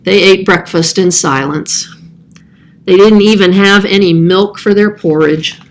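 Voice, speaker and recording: A woman's voice: mid-range voice, unhurried, a little hiss or hum. A woman reading a children's story aloud as scripted narration. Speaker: storybook reader.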